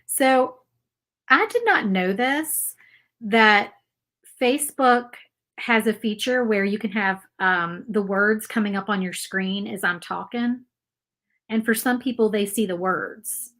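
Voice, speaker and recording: A woman with a mountain Appalachian accent talks in a loose, rambling way as she paints live for her viewers. Her voice sits at a middle pitch and keeps a chatty, friendly flow. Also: slow pace, fairly bright tone. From Virginia, USA. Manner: chatty, rambling, reads viewer comments aloud. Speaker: craft livestream host. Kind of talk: casual live craft stream chat.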